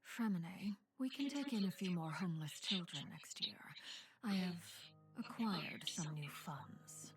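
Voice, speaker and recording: A woman playing a cold, composed leader of a crime family in a game trailer, speaking in a measured, hushed and solemn manner. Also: on the low side, animated. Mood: stern, quiet. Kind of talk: scripted game trailer acting. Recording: background music under the voice.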